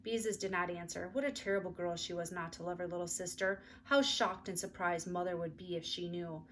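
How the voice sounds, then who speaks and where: A woman's voice: on the low side, fairly even intonation, a little fast, a little hiss or hum. Woman reading a storybook aloud. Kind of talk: expressive storybook narration.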